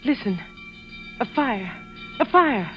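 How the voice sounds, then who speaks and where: An American actress playing a guarded, evasive wife, defensive and insistent, in 1940s radio suspense style. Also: female voice, fairly high pitch, big pitch swings, slow pace, faint music behind. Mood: tense, furtive. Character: evasive, defensive wife hiding something from her husband. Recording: muffled, noisy old radio recording with clicks.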